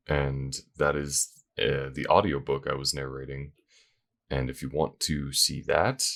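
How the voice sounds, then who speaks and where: Man, extremely deep voice, casual creator update, informal, rambling, self-effacing. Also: vocal fry, fairly bright tone. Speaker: voice actor and audio creator.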